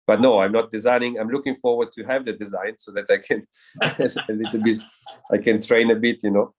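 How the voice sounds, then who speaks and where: Hesitant man, halting repeated words. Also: lowish voice, flat delivery, highs cut off. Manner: hesitant, repeats words often while searching for them. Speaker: air race pilot, interview guest. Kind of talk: casual interview talk.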